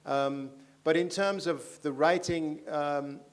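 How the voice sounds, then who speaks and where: A man speaking on a festival panel, thoughtful and halting, with many restarts. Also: fairly high pitch, steady tone. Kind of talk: panel discussion. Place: large festival stage.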